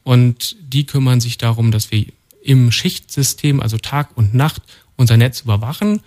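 Calm man explaining his work. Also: mid-range voice, normal speed. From North Rhine-Westphalia, Germany. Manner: calm, explanatory, matter-of-fact. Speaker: studio guest, department head. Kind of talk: radio interview answers. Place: radio studio.

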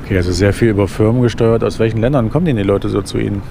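A man hosting a radio interview show, asking questions in a brisk, conversational way. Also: on the low side, creaky, noisy background.

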